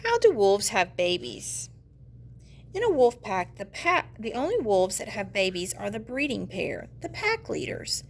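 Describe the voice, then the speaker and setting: A woman's voice: medium pitch, gravelly, slight background noise. A woman teacher giving an instructional read-aloud of a children's nonfiction book, explaining and putting questions directly to young learners. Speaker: teacher reading aloud to students.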